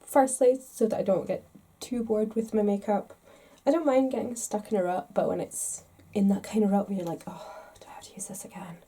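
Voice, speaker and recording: A woman's voice: mid-range voice, brisk, mellow, husky. A woman vlogger talking casually to the camera in a chatty, rambling, self-deprecating way, a little congested with a stuffed-up nose. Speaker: makeup vlogger.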